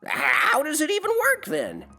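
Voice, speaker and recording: A nervy, chatty ghost in an audio drama, colloquial and jokey. The pitch swings widely over faint music. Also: female voice, wildly swooping pitch, measured pace, bright, forceful tone, exaggerated voice. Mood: puzzled, jokey. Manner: colloquial, exclamatory, puzzled. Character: spooked, chatty, jokey ghost being interviewed. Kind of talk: audio drama character acting.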